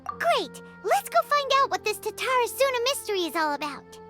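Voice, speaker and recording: A woman voicing a small, childlike fairy companion in a video game, squeaky, chirpy and blunt. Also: very animated, normal speed, pushed and bright, heavily acted. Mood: curious. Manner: exclamatory, refers to herself by name. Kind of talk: anime-style video game voice acting. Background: music.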